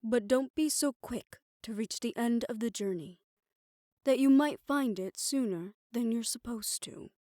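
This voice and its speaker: A woman playing a calm, wry, curious-minded friend in an audio drama, giving caution in a measured, gentle way. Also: fairly high pitch, no echo, studio quality, lightly acted. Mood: gentle warning. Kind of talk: scripted audio roleplay drama acting.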